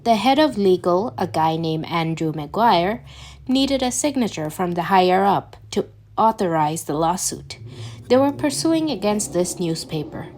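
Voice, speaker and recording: A woman reading fan fiction aloud as an amateur narrator, with a halting delivery that often stumbles and corrects itself. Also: fairly low pitch, lively intonation, darkish tone, a little hiss or hum. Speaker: podfic reader.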